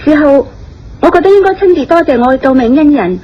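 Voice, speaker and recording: Earnest young woman, high-pitched. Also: lively intonation, fast. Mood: polite, earnest. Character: young female film star, polite, earnest, easily frightened. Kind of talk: Cantonese radio drama acting. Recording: dull lo-fi audio with background music.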